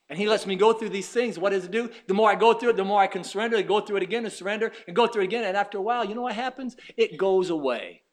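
A man in his fifties preaching a sermon, emphatic, swinging from loud urging to hushed asides and acting out his listeners' objections. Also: high voice. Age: 50s. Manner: acts out the doubting listener's objections. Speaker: pastor.

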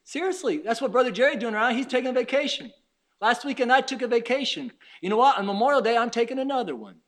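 A man in his fifties preaching a sermon, emphatic, swinging from loud urging to hushed asides and acting out his listeners' objections. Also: extremely high pitch, animated, quick pace. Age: 50s. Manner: acts out the doubting listener's objections. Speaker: pastor.

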